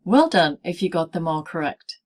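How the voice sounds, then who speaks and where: A woman presenting a scripted pronunciation lesson as a teacher, speaking in deliberate, clearly segmented phrases. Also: lowish voice, sing-song. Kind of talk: scripted instructional narration in easy English.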